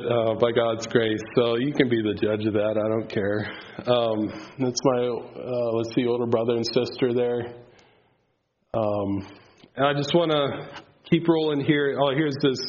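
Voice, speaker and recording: Man giving a rambling faith testimony. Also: medium pitch. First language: Pennsylvania Dutch. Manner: casual, rambling, with filler words. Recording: slightly dull, lo-fi sound.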